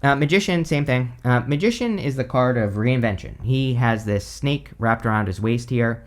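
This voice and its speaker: Hoarse man, tarot reader, casual rambling talk, mid-range voice. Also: mid-range voice, normal speed. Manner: rambling, full of "like", "you know" and "right?". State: hoarse, losing his voice. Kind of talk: casual tarot reading to camera.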